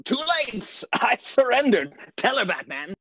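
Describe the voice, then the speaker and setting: A performer voicing a manic, cackling male clown supervillain with a raspy, creaky voice in a table read of an animated film script. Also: mid-range voice, unhurried, no echo, full character voice, poor audio. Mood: mocking surrender.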